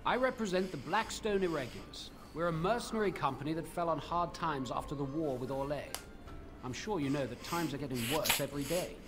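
An actor playing an earnest, courteous mercenary representative in a fantasy video game, speaking formally and with measured phrasing. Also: male voice, on the high side, close to an everyday voice. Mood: earnest, respectful. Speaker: non-player quest-giver in a fantasy video game. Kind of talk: video game dialogue acting. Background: game music.

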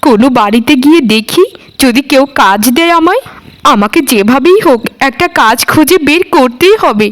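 A woman's voice: high-pitched, expressive, moderate pace. A woman voicing a greedy, nagging village wife in a cartoon, scolding and melodramatic. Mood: bitter. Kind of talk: Bengali cartoon voice acting. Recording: music behind the voice. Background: music.

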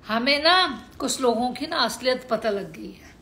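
A hoarse woman from Haryana ranting crudely and combatively on a late-night live stream from home, swearing heavily into her phone. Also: on the high side, expressive, measured pace, bright, forceful tone. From Haryana, India.

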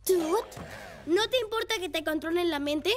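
An actor voicing an earnest young boy motorcycle, a protective big brother, in an animated children's dub. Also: very high voice, moderate pace, faint music behind. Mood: eager.

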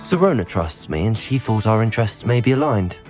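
Male actor as young wizard student, earnest, polite, lively pitch, soft music behind, game dialogue. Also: brisk, natural voice. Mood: determined. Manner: polite, composed.

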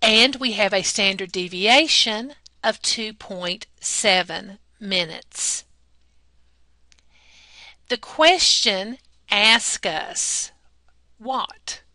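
A woman's voice: mid-range voice, extremely slow, pauses often, pushed and bright, dry recording. A woman math lab technician explaining a statistics problem step by step in a patient, teacherly voice-over. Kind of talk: slow, deliberate classroom explanation over slides.

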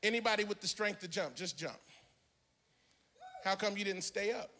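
A middle-aged American man preaching an animated, emphatic sermon as a pastor, theatrical, into a handheld microphone in a large church auditorium. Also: high-pitched, moderate pace, slight background noise. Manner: emphatic, theatrical, often shouting and half-singing.

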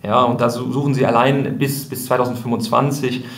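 Gravelly man in an echoey room. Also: mid-range voice, level tone, fairly quick. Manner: thoughtful, many fillers and restarts. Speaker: co-founder, interview guest. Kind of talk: podcast interview, explaining. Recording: roomy echo.

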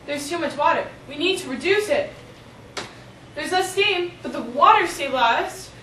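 A young teenage girl playing an anxious, halting reactor engineer in an amateur school drama. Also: sits high, expressive, sharp tone. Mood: worried. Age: young teen. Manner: halting, stammering. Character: anxious reactor engineer pushing through a risky procedure. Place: small office. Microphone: far camera. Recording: roomy, distant camera mic, faint noise.

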